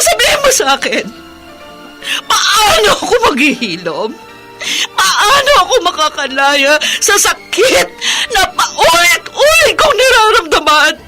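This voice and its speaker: A woman's voice: extremely high pitch, exaggerated voice, poor audio. A woman playing a grieving, anguished widow in a Filipino radio drama, pleading tearfully. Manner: emotional, tearful, drawn-out pleading. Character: grieving widow, anguished, haunted by her husband's death.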